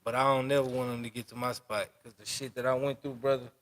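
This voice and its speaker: This is a podcast host in his twenties, speaking in a relaxed and open way. His voice sits at an average pitch. He talks in loose street slang and keeps checking in with his guest. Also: fast. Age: 20s. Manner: loose street talk, keeps asking "you know what I'm saying". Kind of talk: casual interview.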